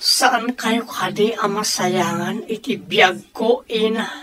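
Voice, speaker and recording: A woman's voice: mid-range voice, slow, a bit bright. A woman acting in an Ilocano radio drama as an earnest grown child who pleads solemnly and emphatically for peace with warring parents. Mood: earnest, pleading.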